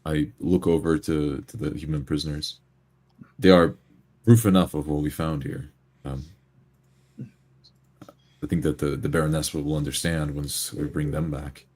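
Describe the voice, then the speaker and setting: Very deep-voiced man role-playing. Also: flat delivery, moderate pace, long pauses, darkish tone, slightly rough. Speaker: tabletop role-player. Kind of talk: improvised in-character play and action description.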